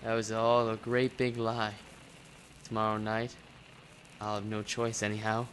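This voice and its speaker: An actor playing a shady war veteran turned reluctant killer, slangy and evasive, in old time radio style. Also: male voice, on the low side, monotone, a little slow, a bit bright, a little hiss or hum. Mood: drunken, brooding.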